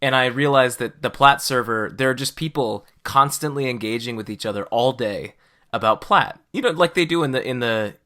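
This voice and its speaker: A man's voice: mid-range voice, normal speed, bright, forceful tone. A man hosting a podcast in casual conversation, explaining things in a conversational way. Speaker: podcast host.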